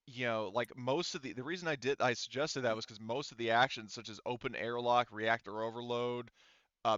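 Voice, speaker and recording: A man's voice: mid-range voice, a bit bright, smooth, dry recording, dull audio. A man acting as game master, casually talking through rules and thinking aloud in a hesitant way. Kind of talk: casual tabletop game refereeing, explaining rules.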